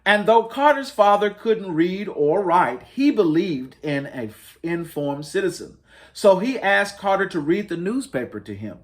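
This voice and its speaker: A man's voice: sits high, big pitch swings, a little slow. A man hosting a story time, reading a picture book aloud to families in a dramatic, emphatic way. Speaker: children's storybook reader, host.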